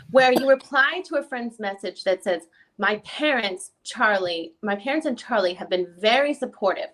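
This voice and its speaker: A woman reading the part of a lawyer who questions a witness in a formal deposition, with halting, probing questions. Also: mid-range voice, a bit bright, close to an everyday voice, low-quality recording. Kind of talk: dramatic reading of a deposition transcript.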